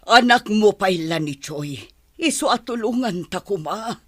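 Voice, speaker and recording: Woman acting as worried middle-aged mother, audio drama, swooping pitch, slow sighing delivery. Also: expressive, no echo, clean studio sound. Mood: worried, pleading. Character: exasperated, worried mother of a wayward grown daughter.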